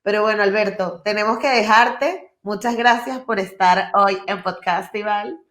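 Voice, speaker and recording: A woman from hosts in a mid-pitched voice, reacting eagerly with frequent short affirmations. Her voice rings with noticeable room echo. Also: normal speed, a bit bright. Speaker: podcast festival host. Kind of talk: casual interview hosting. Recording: echoey room.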